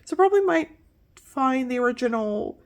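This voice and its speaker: A young woman hosts a relaxed tech video, her voice nasal from pollen allergies. She talks in a loose, rambling way, doubling back to correct herself and apologize as she goes. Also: sits high, normal speed. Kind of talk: casual hardware walkthrough.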